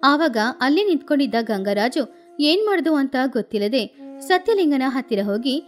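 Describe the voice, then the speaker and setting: High-pitched woman narrating a moral story. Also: sing-song, moderate pace.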